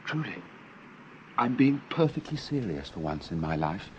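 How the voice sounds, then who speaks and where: A man playing a flippant, romantic young gentleman in a radio drama, with a playful, mock-grand manner. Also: lowish voice, big pitch swings, moderate pace. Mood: earnest, joking. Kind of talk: British radio drama acting. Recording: muffled radio recording, faint noise.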